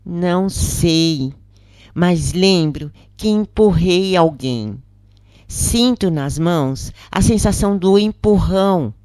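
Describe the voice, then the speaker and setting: Woman reading as troubled, slow-spoken female prisoner. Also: lowish voice, expressive, dark tone, no room sound, voice pushed up. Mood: uncertain, troubled. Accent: Brazilian. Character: troubled woman prisoner Gilda, remorseful, questioning, uncertain.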